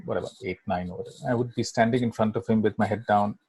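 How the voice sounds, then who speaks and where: A middle-aged man with an Indian English accent, a native Bangla speaker, tells his story as an interview guest. He rambles, leans on fillers and keeps asking "right?". His voice is slightly husky and sounds dry and close, with no room echo. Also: on the low side, steady tone, racing, a little mellow. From Kolkata, India. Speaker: guest filmmaker. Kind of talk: casual interview chat.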